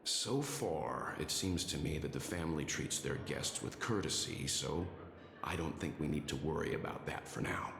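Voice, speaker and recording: A man acting a level-headed, scholarly mentor in a video game, speaking formally and politely in a measured, deliberate way. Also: rumbling low, vocal fry, background noise. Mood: calm, explanatory. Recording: roomy echo under loud music.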